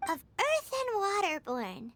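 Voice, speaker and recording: Childlike anime game girl: extremely high, squeaky, eager, very animated, over music. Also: slow pace, dry recording, exaggerated voice. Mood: thoughtful. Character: tiny, childlike, excitable cheerful girl. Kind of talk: anime-style game character acting. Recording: lively music under the voice. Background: playful game music.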